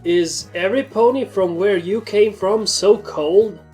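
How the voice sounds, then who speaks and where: Woman as disapproving pony comrade, stoic, questioning, medium pitch, fiction reading, music. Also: a little slow, darkish tone, natural voice. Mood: disapproving, puzzled.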